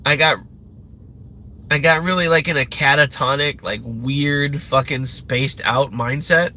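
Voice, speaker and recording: Young male streamer, bright and forceful, loose profane rambling, faint background hum. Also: mid-range voice, steady tone, unhurried. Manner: loose, profane, meandering talk with many fillers. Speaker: livestream host. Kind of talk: rambling live rant.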